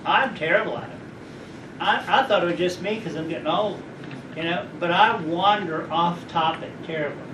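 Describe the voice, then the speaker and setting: Rambling high-voiced man in an echoey room. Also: moderate pace. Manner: rambling, anecdotal, asks the audience questions. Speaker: guest speaker. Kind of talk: informal lecture to students. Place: college lecture room. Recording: echoey room, distant mic, background noise.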